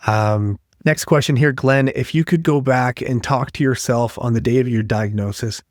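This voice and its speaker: A man's voice: medium pitch, normal speed, no echo, clean studio sound. A man hosting an interview podcast, friendly and conversational, reading out resource details between questions. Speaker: podcast host. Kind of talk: interview hosting with scripted info segments.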